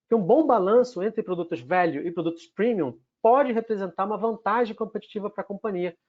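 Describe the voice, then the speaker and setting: A man's voice: high-pitched, muffled recording. A man with a Carioca accent giving a webinar presentation, walking through numbered takeaways in a structured way.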